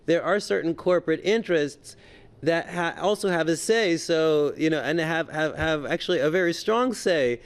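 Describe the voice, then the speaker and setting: Man, fairly high smooth voice, political commentator, halting, stammering, repetitive phrasing. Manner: halting, stammering, repeats words. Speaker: journalist and political commentator, interview guest.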